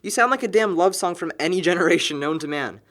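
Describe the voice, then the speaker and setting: A young male actor as a sarcastic young guy with a somewhat high voice, exasperated with his best friend. He delivers casual audio drama dialogue in a needling, teasing way. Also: expressive, quick pace, a bit bright. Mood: exasperated, earnest. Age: young adult.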